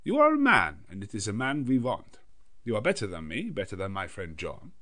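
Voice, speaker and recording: A learned old Dutch professor in a gothic audiobook, acted by a man. He is grave and commanding yet kindly. His speech is emphatic and foreign in its phrasing, pitched up from the narration. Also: huge pitch swings, fairly quick, sharp tone, heavily acted. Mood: spirited, hearty.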